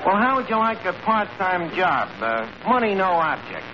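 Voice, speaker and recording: An actor playing a brash, scheming tavern manager in a 1940s radio comedy, with a New York accent and a bluffing, word-mangling way of talking. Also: male voice, high voice, sing-song, normal speed. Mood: breezy, bluffing. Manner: bluffing, mangles grammar and fancy words. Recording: noisy muffled old radio broadcast, audience behind.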